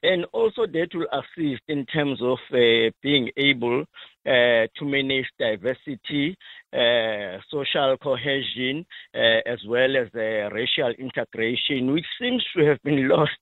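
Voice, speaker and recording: A man with a South African accent, a native isiZulu speaker, calling in to a radio show and speaking haltingly with many pauses. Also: mid-range voice, no room sound. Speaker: caller. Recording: tinny phone line.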